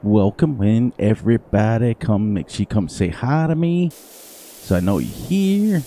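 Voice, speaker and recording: A man streaming a farming game live, chatting casually with viewers, his voice a little hoarse. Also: on the low side, expressive, unhurried, warm tone, slight background noise. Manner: rambling, playful, sing-song repetitions. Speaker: gaming livestreamer. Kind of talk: casual live gameplay commentary.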